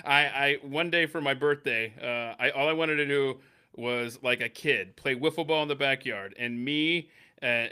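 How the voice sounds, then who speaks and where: A man's voice: mid-range voice, bright, forceful tone, clean tone. A man hosting a podcast, reminiscing casually in an effusive, emphatic way and repeating himself to stress a point. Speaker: podcast host.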